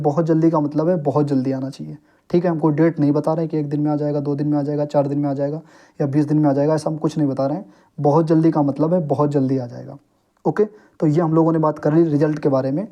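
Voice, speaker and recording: A man's voice: on the high side, warm tone. A young man explaining things informally to his viewers, step by step, often checking that they follow. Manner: instructive, repeatedly checks understanding with short tags. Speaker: exam coaching YouTuber.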